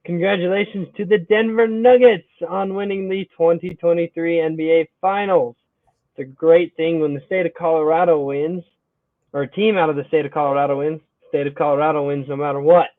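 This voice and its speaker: Young male podcast host, slightly high voice, thin phone-line sound with no room echo. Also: brisk. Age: young adult. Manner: upbeat, energetic intro then relaxed. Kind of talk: casual podcast chat. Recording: tinny phone-like line, dry.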